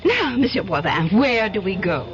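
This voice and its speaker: An actress playing a haughty, wealthy aunt with an imperious, commanding manner. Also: female voice, mid-range voice, sing-song, normal speed, close to an everyday voice. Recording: dull lo-fi radio broadcast, faint hiss.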